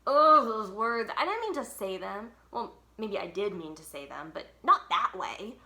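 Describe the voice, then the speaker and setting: A woman's voice: medium pitch, very animated, a little slow, sharp tone, echoey room. A woman reading a children's book aloud expressively, leaning on key words with dramatic emphasis. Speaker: library read-aloud storyteller.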